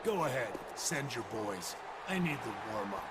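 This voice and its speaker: A man's voice: medium pitch, very animated, measured pace. A man playing a cocky, defiant wrestler in a game, taunting as he trash-talks. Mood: cocky, mocking. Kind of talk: trash-talking promo. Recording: very noisy game arena audio.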